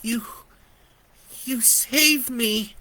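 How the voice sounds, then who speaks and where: Brief: timid frightened boy in a storybook, high pleading voice, done by a male narrator. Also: very slow, pushed and bright, heavily acted. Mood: relieved.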